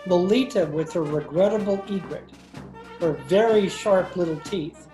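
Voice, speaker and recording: A woman's voice: on the low side, moderate pace, close to an everyday voice. A woman acting as a wry male hustler in an old-time style radio drama, sardonic, recorded with echo over music. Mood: sardonic narrating. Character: wry, wisecracking male hustler telling his own misadventures. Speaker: lead actor and narrator. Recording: echoey video-call recording.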